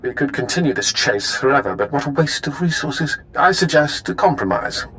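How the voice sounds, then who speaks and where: A cunning enemy agent, voiced at a middle pitch, calm, smooth and formal. He sounds measured and untroubled, with a faint hum behind him.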